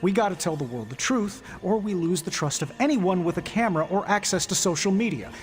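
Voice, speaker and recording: Young male hero: blunt, flippant, higher voice, game cutscene with faint music. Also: expressive, brisk. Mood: defiant. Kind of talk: video game cutscene acting. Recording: long reverb, faint music.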